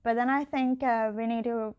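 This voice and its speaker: A young woman with a somewhat high voice answers an interviewer's questions as an election candidate. She speaks hesitantly, full of ums and self-corrections. English is not her native language. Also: steady tone, moderate pace, smooth, highs cut off. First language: not Icelandic. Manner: hesitant, many fillers and restarts.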